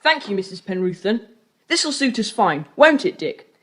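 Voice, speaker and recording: An actor playing a confident, polite schoolboy who leads child adventurers in an audiobook drama, brisk and decisive. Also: medium pitch, big pitch swings, a bit bright, breathy edge. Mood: cheerful, assured. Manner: brisk, decisive, well-mannered.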